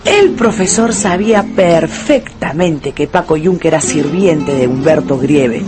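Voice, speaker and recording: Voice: mid-range voice, lively intonation, measured pace, over music. A narrator delivering a scripted, dramatic story for a radio play.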